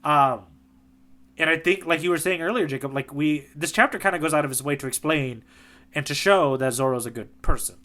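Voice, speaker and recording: A man co-hosting a casual podcast chat, animated and quick to laugh, with lots of fillers. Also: on the high side. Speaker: podcast co-host, tour guide.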